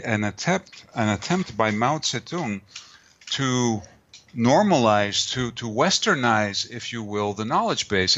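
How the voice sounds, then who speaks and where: A man's voice: mid-range voice, pushed and bright. A middle-aged man answering interview questions at length, rambling with many fillers and restarts. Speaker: guest author and martial artist. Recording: dull lo-fi remote audio.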